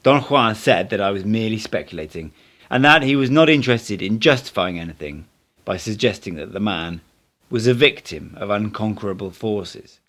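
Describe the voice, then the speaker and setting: Fairly low-voiced man narrating an audiobook. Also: expressive, moderate pace, a bit bright. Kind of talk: scripted literary narration in first person.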